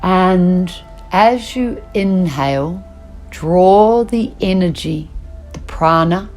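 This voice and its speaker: A woman teaching yoga nidra, guiding a relaxation meditation in slow, soothing instructions with long pauses. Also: on the low side, expressive, darkish tone, faint music behind.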